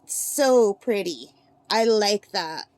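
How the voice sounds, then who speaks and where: A woman with a slightly gravelly voice chatting and gushing as she swatches paints in a casual demo at her craft table. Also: mid-range voice, lively intonation, drawn-out words, a little mellow, no room sound. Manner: chatty and gushing, thinks aloud. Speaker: art supply reviewer.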